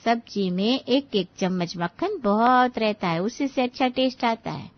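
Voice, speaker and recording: Woman playing kindly older auntie, gentle, reassuring, high voice, cartoon moral story, slightly muffled audio. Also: expressive, unhurried, no echo. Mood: gently explaining. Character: kind older aunt-in-law, gently advising her nephews' wives. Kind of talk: animated moral-story dialogue.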